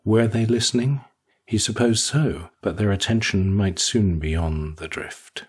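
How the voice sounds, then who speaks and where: A man narrating a scripted short story for an audiobook, with a husky, rough-edged voice. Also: on the low side, unhurried.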